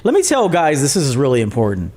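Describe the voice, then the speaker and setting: A man's voice: mid-range voice, wildly swooping pitch, brisk, a little mellow. A man who works as a coach, a guest on a podcast, giving blunt advice in a loose, repetitive, filler-filled way. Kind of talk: casual podcast interview.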